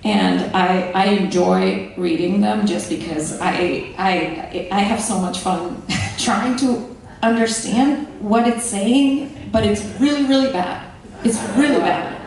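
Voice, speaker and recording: A woman's voice: medium pitch, slow, gravelly, a little mellow. A woman whose first language is Slovenian, speaking on a conference panel in a thoughtful way with hesitant restarts, recorded from far off in an echoey hall.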